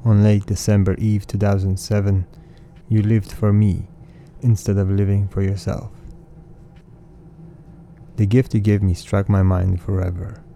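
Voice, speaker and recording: A man in his thirties whose first language is Russian, hosting a podcast and reading his own lyrics in an earnest, measured recitation. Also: lowish voice, flat delivery, vocal fry, mellow, slight background noise. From Russian-speaking background. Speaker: podcast host. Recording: faint music bed behind voice.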